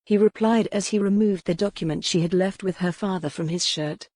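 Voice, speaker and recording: A woman narrates a historical romance audiobook from a script. Her voice sits a little low for a woman, carrying dramatic prose. The sound is dry, with no room echo. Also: brisk, darkish tone.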